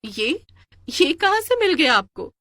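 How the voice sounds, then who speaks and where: Lady doing a playful cartoon village wife, loving and teasing, really lively pitch, dry sound. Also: a little slow, no room sound, exaggerated voice. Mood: curious. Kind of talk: cartoon story character voice.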